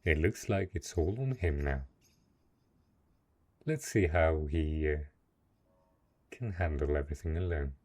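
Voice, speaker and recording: A man playing a brooding, possessive and lonely dark knight in a fantasy roleplay audio drama, speaking in a measured, deliberate way. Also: very deep voice. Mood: grimly detached. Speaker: voice actor.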